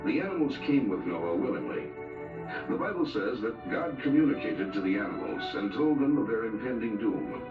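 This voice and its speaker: A man delivers solemn, scripted documentary narration with lively, varied intonation. His voice sits at a medium pitch and sounds set back from the microphone, like an old 1970s film soundtrack. Loud music plays behind him. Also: moderate pace, roomy sound, far from the mic, dull audio.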